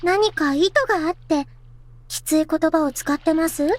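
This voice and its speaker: A woman's voice: high voice, quick pace, natural voice. A woman voicing a cute, chatty teenage girl in a Japanese visual novel. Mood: probing. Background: game music.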